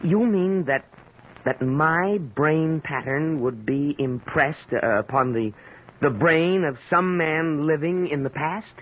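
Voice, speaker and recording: An actor playing an amiable, curious man in his thirties, speaking haltingly and with wonder in an old-time radio drama style. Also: fairly high pitch. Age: 30s. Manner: halting, wondering, repeats words. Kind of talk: mid-century American radio drama acting. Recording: dull, noisy old radio recording.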